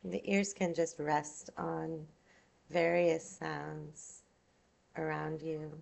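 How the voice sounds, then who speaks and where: Slow, very creaky-voiced woman guiding meditation. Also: sits low, little pitch movement. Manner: soothing, unhurried, gentle instruction with long pauses. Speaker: yoga nidra instructor. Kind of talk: guided meditation.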